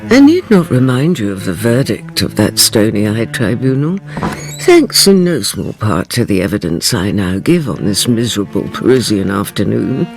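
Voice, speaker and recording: An actor in a radio drama playing a scornful prosecutor, declaiming pompously like a courtroom orator. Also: male voice, lowish voice, big pitch swings, moderate pace, gravelly, music in the background.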